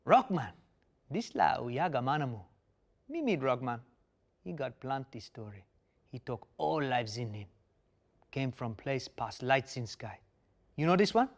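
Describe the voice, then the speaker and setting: Creaky, excitable little creature. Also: male voice, medium pitch, measured pace, long pauses. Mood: manic excitement. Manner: broken pidgin English mixed with invented words. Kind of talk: table read of a fantasy audio script.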